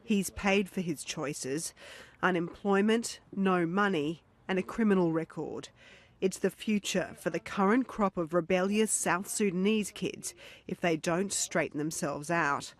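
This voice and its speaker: Serious woman reporter. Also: fairly low pitch. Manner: measured, serious. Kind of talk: scripted news narration.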